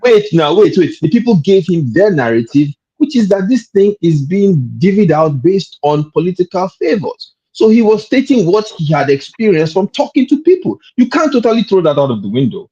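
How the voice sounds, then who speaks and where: A man's voice: high-pitched, very animated, normal speed, hardly any pauses, dark tone, muffled recording. A man on a panel, arguing heatedly and cutting in to hold the floor. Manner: argumentative, keeps interjecting to hold the floor. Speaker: panel guest.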